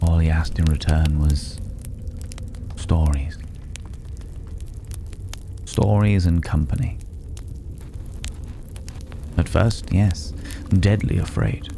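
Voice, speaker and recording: An extremely deep, unhurried male voice acted out by a man plays a mysterious stranger in a fantasy ASMR roleplay. He is courteous and pensive, with soft, formal, old-fashioned phrasing that edges toward a whisper. Noticeable background noise runs underneath. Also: fairly even intonation, vocal fry, heavily acted. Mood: reflective, intrigued.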